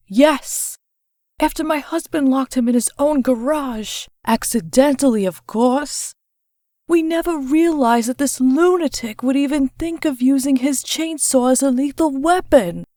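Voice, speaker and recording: A woman's voice: high voice, measured pace, warm tone, no echo, clean studio sound. A woman playing an indignant, scatterbrained citizen, theatrical and rambling as she complains, in a comic book dub. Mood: fussy indignation.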